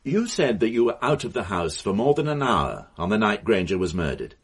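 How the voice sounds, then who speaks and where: A low, slightly husky voice with a lively, swinging pitch belongs to a dogged police inspector. He asks pointed questions in short, clipped lines, pressing for answers. It is a classic radio mystery drama. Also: fairly quick. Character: brisk, probing male police inspector.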